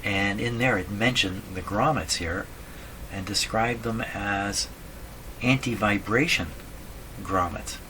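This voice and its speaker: A man thinking aloud in a casual, halting way as he explains a repair at his workbench, often putting questions to himself. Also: fairly low pitch, pushed and bright. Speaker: radio repair hobbyist, host. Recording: clearly noisy room recording.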